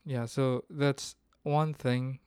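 Man, clear and dry, thinking aloud. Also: mid-range voice, fairly even intonation, drawn-out words, darkish tone, dry recording. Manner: hesitant, thinking aloud with frequent restarts. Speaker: podcast host. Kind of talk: casual solo podcast chat.

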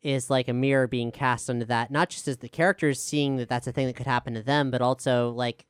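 A woman's voice: a bass voice, steady tone, fairly quick, smooth, no echo, clean studio sound. A woman moderating a casual podcast panel as its host, chatting and passing questions along.

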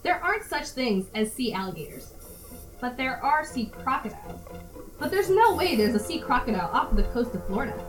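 A woman's voice: high-pitched, big pitch swings, brisk. A woman acting in an amateur audio drama as a headstrong, enthusiastic know-it-all diver who explains things earnestly. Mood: explaining, puzzled. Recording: roomy sound with music underneath.

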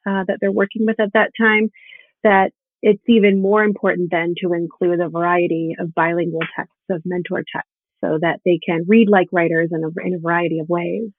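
A woman's voice: lowish voice, little pitch movement, a little fast, no echo. Woman interviewer on a phone-like line. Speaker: interviewer, host. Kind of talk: measured discussion with prepared questions. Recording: thin phone-like remote call audio.

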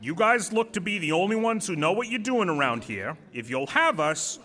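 A man playing an assertive, quick-witted former manager in an audio drama, talking in a glib, persuasive, commanding way. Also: high-pitched, sing-song, pushed and bright, exaggerated voice.

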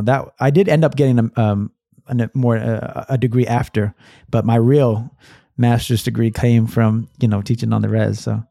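A middle-aged man from Massachusetts, a guest who founded an education nonprofit, telling his story in relaxed conversation with many fillers and restarts. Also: medium pitch, vocal fry, no room sound, studio quality. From Massachusetts, USA.

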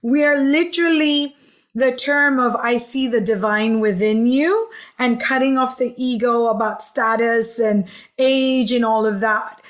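A woman in her forties, from Hong Kong, speaks as a podcast guest with a fairly high voice. She tells her story in an enthusiastic, chatty way, sprinkled with "like" and "um". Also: unhurried. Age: 40s. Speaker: podcast guest, healing coach. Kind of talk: conversational storytelling interview.

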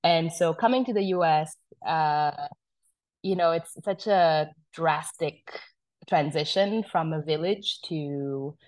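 Guest woman from South Sudan, low voice, chatty storyteller, dry close sound. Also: slow, darkish tone, no room sound. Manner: chatty, storytelling, many fillers and restarts. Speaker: wine business founder, guest. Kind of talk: casual conversation.

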